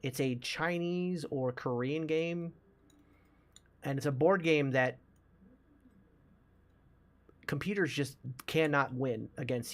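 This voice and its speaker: A man chatting casually as a podcast host about films, explaining things with enthusiasm. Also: medium pitch. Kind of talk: casual movie discussion.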